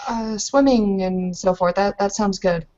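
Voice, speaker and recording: A woman's voice: medium pitch, measured pace, darkish tone, natural voice. A woman voicing an awkward, unworldly bride who speaks tentatively in a tabletop roleplaying game. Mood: hesitant. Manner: hesitant, tentative. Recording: dull muffled lo-fi audio.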